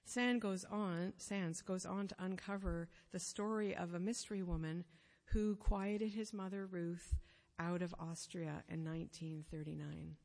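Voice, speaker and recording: A woman giving a sermon, telling a story in an earnest, measured way, pausing phrase by phrase. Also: fairly low pitch, fairly even intonation, darkish tone. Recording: long reverb of a hall.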